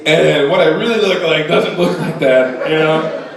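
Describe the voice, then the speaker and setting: Casting: forties male stand-up, higher voice, rambling self-mockery, echoey club taped from afar with loud crowd laughs. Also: moderate pace. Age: 40s. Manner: self-deprecating, rambling with fillers and restarts. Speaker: stand-up comedian. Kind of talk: stand-up comedy set. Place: comedy club stage with audience. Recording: echoey club room from a distance, audience laughter.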